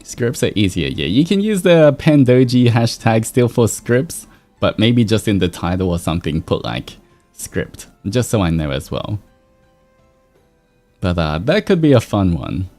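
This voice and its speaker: Mellow, expressive male streamer over music. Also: on the low side, a little slow. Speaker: livestreamer, VTuber host. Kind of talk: casual livestream chat to viewers. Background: background music.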